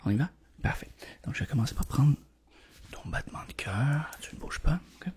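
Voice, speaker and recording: A man whispers gently and reassuringly, playing a doctor in an ASMR roleplay, with a breathy voice and softly repeated words. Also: animated, brisk. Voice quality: breathy whisper mixed with soft, creaky low voice.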